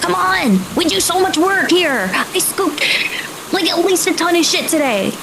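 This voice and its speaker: A woman's voice: extremely high pitch, expressive, measured pace, background noise, voice pushed up, exaggerated voice. A woman voicing a tipsy male coworker who whines and complains in a mocking, theatrical way in a tabletop game. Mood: whiny, griping. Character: whining, tipsy male coworker griping about champagne.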